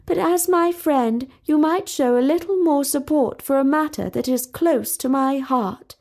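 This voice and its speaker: A woman's voice: high voice, a little slow, darkish tone, voice pushed up. A woman voicing a poised, formal young princess who speaks precisely and stays unruffled. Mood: dignified.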